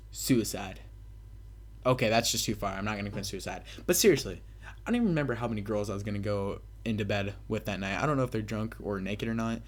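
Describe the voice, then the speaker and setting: A young man telling a casual, rambling story as a gaming commentator, swearing freely with self-mocking bravado. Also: lowish voice. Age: young adult. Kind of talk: casual rambling party storytelling over gameplay.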